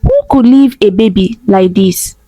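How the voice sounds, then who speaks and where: A woman voicing a tender, tearful young wife, speaking softly. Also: medium pitch, measured pace, dry recording, soft background music, pitched below the performer's own voice, lightly acted. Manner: soft, heartfelt.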